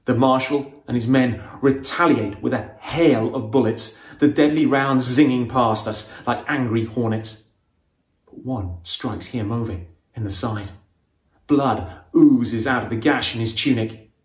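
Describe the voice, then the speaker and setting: A man's voice: mid-range voice, a little slow. Man narrating adventure dramatically in an echoey room. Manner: expressive, suspenseful storytelling. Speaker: author reading own novel.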